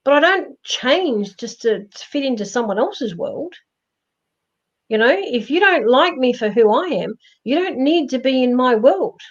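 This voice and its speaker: A woman with a loud voice, giving emphatic, reassuring life advice in a casual live-stream chat. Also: high-pitched, lively intonation, measured pace. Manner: emphatic, reassuring, repeats herself. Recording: dull lo-fi stream audio.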